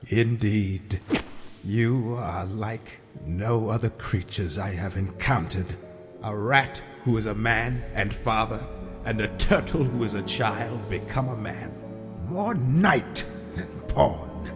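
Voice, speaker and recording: Deep, slow, theatrical immortal villain. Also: male voice, long reverb tail, over music. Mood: grand, foreboding. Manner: slow, grandiose, riddling speech. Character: menacing, theatrical male Rat King, an ancient scheming immortal. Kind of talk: dramatic comic-book audio drama acting.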